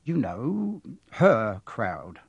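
A man's voice: medium pitch, big pitch swings, very slow, gravelly, slightly rough, no room sound, lo-fi sound, heavily acted. Man playing a nervous, mumbling suburban husband. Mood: nervous, hesitant. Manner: hesitant, mumbling. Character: nervous, evasive middle-aged suburban English husband.

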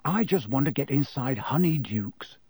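A man voicing an impulsive, excitable young schoolboy with a casual way of talking in an audiobook. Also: mid-range voice, moderate pace, slightly rough, no echo, clean studio sound. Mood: dreamy, enthusiastic.

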